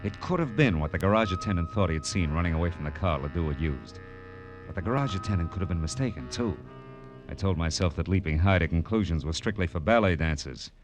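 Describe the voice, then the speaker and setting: A man's voice: deep voice, animated, fast talker. An American man playing a tough, world-weary private detective in an old radio noir drama, clipped and slangy, narrating and talking bluntly. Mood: brooding narration. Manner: clipped, hard-boiled, slangy. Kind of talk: 1940s-50s radio noir acting and first-person narration. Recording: vintage radio recording with music behind.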